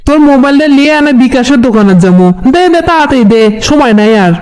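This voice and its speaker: A woman's voice: high-pitched, expressive, fast talker, darkish tone, slight background noise. A woman voicing a nagging, bossy older village woman in a comedy cartoon, scolding. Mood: impatient.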